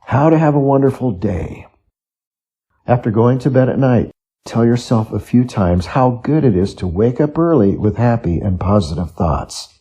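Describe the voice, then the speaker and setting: A man's voice: medium pitch, lively intonation, moderate pace, mellow, husky. A man reading scripted self-help and study advice aloud as narration.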